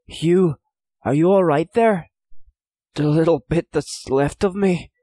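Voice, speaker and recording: A man's voice: fairly high pitch, slow, mellow, no room sound, clean studio sound, pitched above the performer's own voice. A man voicing a wry Irish prisoner who jokes through his misery, exclamatory, in an audiobook story. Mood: weak joking.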